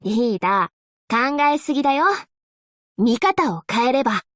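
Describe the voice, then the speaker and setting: A woman playing an upbeat, playful young angel courier girl in a Japanese anime game, with a perky, teasing, expressive delivery. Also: fairly high pitch, measured pace, no echo, clean studio sound. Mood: chatty, easygoing.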